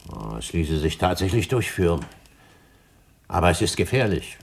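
A man playing a composed, calculating pirate captain in a German radio play, speaking in a measured, formal and courteous way. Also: deep voice, sing-song, creaky, slightly rough, full character voice. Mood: cool, deliberate.

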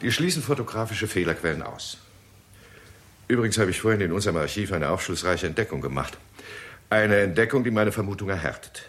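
An actor playing a keen, courteous astronomer in a 1960s German radio drama, explaining things emphatically and politely. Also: male voice, lowish voice, expressive, fairly quick, a bit bright. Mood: confident, explanatory. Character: keen, courteous male astronomer presenting an alarming discovery. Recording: 1960s radio recording with faint noise.

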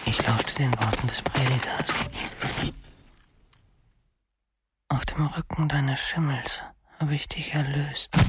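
A man's voice: medium pitch, lightly acted. A man playing a lonely, obsessive killer in a radio drama, confiding intimately and breathily in a brooding inner monologue. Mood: hushed obsession. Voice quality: breathy, whispering. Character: obsessive, lonely male killer confessing to his beloved victims.

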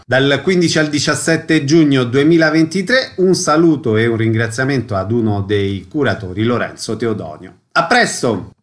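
A man's voice: mid-range voice, a bit bright. A man hosting a radio program, asking brief formal questions and reading announcements. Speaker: radio host. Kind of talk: radio interview.

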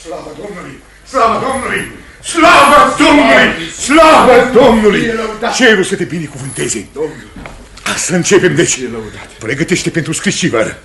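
An actor playing a pompous, pious and impatient official interrogator with a commanding, declamatory radio theatre delivery. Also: male voice, sits high, sing-song, crowd noise. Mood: exultant. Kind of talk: Romanian radio theatre acting.

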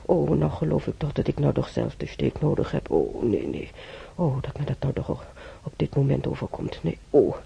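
Een actrice die in een hoorspel een oudere bedlegerige patiënte speelt, moederlijk en snel van slag, haperend en zuchtend. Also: female voice, extremely low pitch, animated, creaky, dark tone, breathy edge, muffled recording, heavily acted. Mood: distressed, embarrassed. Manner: halting, sighing, full of ohs. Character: older bedridden female patient, flustered, teasing, motherly. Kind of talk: radio drama acting.

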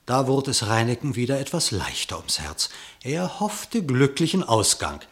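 A man narrating a literary radio play in verse, with measured, dramatic storytelling. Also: medium pitch, big pitch swings.

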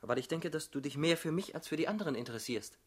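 An actor playing an earnest, proud and defensive young revolutionary husband in a radio drama, easily flustered. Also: male voice, a little high, expressive, fast talker, husky.

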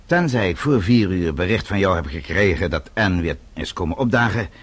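A man's voice: deep voice, expressive, normal speed, breathy edge, no room sound. A man acting a proud, stubborn older businessman with a brusque manner in a Dutch radio drama. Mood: brusque, decisive. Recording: old radio drama, faint noise.

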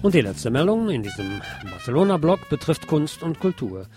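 A man presenting scripted news items in a measured, enumerating way, as a radio presenter. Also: on the high side, sing-song, loud music behind the voice.

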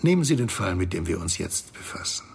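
An actor playing a smug, middle-aged interrogator in a crime radio drama, mock-polite and needling in a husky, gravelly voice. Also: male voice, low voice, sing-song, a little fast, exaggerated voice. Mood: smug, condescending. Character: smug, menacing male consultant interrogating his captive.

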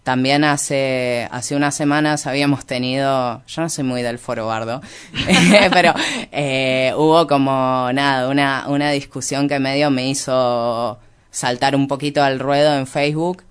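A man's voice: mid-range voice, steady tone, unhurried, fairly bright tone, clean tone. A man with an Argentine Spanish accent talking as an interview guest in an informal intellectual discussion, reflective and hesitant, often rewording himself. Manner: hesitant, reflective, self-correcting.

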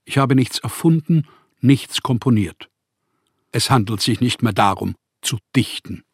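An actor playing a proud, embittered male writer and journalist in a radio play, speaking formal letters with emphatic, declarative phrasing and a husky voice. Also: mid-range voice, animated, unhurried, no echo, clean studio sound. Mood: earnest, measured. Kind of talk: radio play acting, reading letters aloud.

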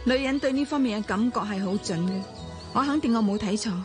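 An actress with a Hong Kong accent playing a composed, perceptive young woman in a radio drama, speaking in a measured, probing way. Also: on the high side, music in the background, natural voice. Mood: calm, probing. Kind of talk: Cantonese radio drama acting.

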